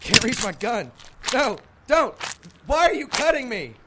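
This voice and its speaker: Skeptical, expressive police detective. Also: male voice, extremely high pitch, a little slow, heavy background noise, full character voice. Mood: panicked. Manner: matter-of-fact, doubting. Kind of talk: audio drama acting.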